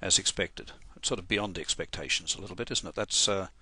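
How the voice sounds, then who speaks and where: Halting Australian man, bright dry tone. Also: deep voice, breathy edge, no room sound. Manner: casual, halting, many fillers and restarts. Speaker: software trainer. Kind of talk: screen-recorded software tutorial.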